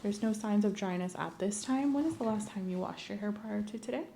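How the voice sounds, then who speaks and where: A soft-spoken woman, often whispering, doing an ASMR medical exam roleplay in a gentle, clinical manner. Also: medium pitch, a little fast, creaky, slight background noise. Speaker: ASMR performer playing a medical examiner.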